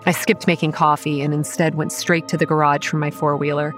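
Faint music sits behind an actress as a young female campground manager in a horror audio drama. Her voice is low and measured, telling eerie things in a pragmatic, wry, matter-of-fact way. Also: little pitch movement, close to an everyday voice. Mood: wry, weary narration. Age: young adult. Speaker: narrator and lead character. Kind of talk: first-person scripted horror narration and dialogue.

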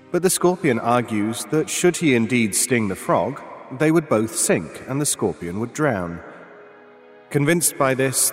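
A man acts as a solemn storyteller of dark fables. His voice sits at a middle pitch and rings in a long reverb. Faint music plays behind the measured, dramatic narration. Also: natural voice. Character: grave male storyteller telling a dark fable.

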